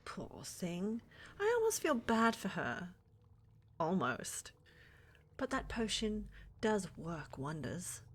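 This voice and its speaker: A woman playing a flirty, scheming witch in a fantasy ASMR roleplay, with a breathy, teasing, coaxing delivery that often drops to whispers. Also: medium pitch, big pitch swings, slow pace, heavily acted. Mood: smug, sinister. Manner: teasing, coaxing, sugary endearments with theatrical menace. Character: flirty, scheming witch who runs a potion shop. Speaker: voice actor in audio roleplay.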